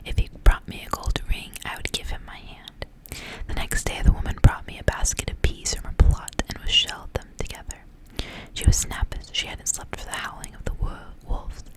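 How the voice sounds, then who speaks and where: A woman whispering a literary fairy tale as an ASMR reading, in hushed narration. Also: moderate pace, poor audio. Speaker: ASMR reader.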